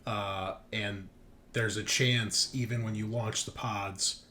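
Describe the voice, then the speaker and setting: Male game master, brisk casual delivery. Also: fairly low pitch, steady tone, bright, forceful tone, a little hiss or hum. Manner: casual, conversational rulings. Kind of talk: improvised narration of a tabletop roleplaying game.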